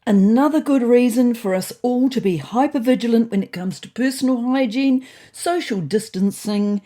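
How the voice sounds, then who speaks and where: A woman's voice: on the high side, normal speed, dark tone, pitched above the performer's own voice, natural voice. Woman voicing a prim, cautious group leader. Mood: prim, earnest.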